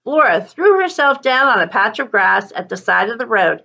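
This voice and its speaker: A woman reading a children's story aloud like a teacher, with deliberate, dramatic emphasis. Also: fairly high pitch, very animated, creaky, bright, forceful tone, highs cut off. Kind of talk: expressive children's story narration.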